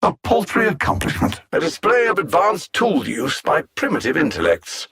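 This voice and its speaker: An actor playing an arrogant, coldly condescending alien machine-minded conqueror in a video game, speaking in a formal, precise, theatrical manner with a husky voice. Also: male voice, fairly high pitch, wildly swooping pitch, normal speed, bright, forceful tone, full character voice. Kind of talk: video game villain dialogue.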